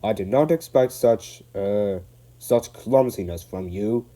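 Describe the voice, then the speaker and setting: A man's voice: on the low side, slow, mellow. A man voicing a stern, pompous male railway boss who speaks formally.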